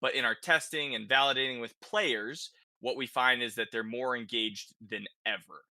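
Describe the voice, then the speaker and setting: A man with a very bright, forceful voice hosts a casual gaming discussion. He speaks conversationally and tags many statements with a quick check-in. The sound is dry and close. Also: mid-range voice, no echo. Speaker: podcast host.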